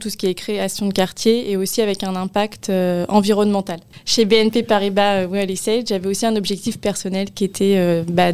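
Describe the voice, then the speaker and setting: A woman's voice: mid-range voice, a little mellow, smooth. A young woman from the Paris region of France answering interview questions in an explanatory way, with frequent hesitations. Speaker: interview guest, senior property programme manager.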